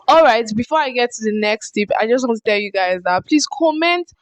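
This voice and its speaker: A woman's voice: fairly high pitch, expressive, a little fast, muffled recording, a little hiss or hum. A young woman with a Nigerian accent gives casual language-learning tips to camera. She is chatty and earnest and often restarts her phrases. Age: young adult. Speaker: vlogger. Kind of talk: casual advice vlog.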